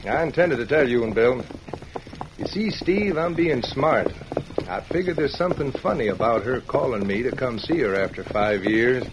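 An actor playing a crooked, scheming rancher in an old-time radio western. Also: male voice, medium pitch, animated, moderate pace, close to an everyday voice. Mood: smug, scheming. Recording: dull, noisy vintage radio recording.

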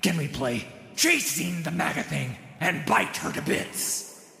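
A man's voice: fairly high pitch, lively intonation, takes it slowly, vocal fry, bright, forceful tone, reverberant, big-room sound, pitched above the performer's own voice, full character voice. A man reading a story and voicing a hungry, playful male wolf spirit with a predatory, growling manner. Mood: menacing.

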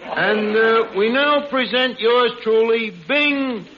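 A muffled, noisy old radio comedy recording before an audience. a brash, uneducated New barroom emcee with pompous airs. The voice sits high and leaps around as he bosses the show along. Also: slow pace. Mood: pompous announcing. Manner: malapropisms, mangled grammar, self-important. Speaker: master of ceremonies of a comedy show. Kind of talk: 1940s radio comedy acting.

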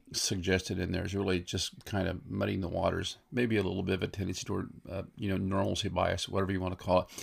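A man hosting a talk show, reading news reports aloud and commenting informally in a deliberate, conversational way. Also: low voice, gravelly. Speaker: host commentator.

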